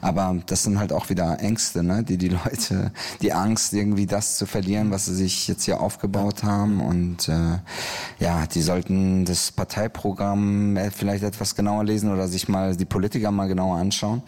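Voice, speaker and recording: Man, forties, low voice, gravelly, thoughtful, many fillers. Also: flat delivery, moderate pace, a little mellow. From Iran. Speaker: comic artist and author. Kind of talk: interview about his book.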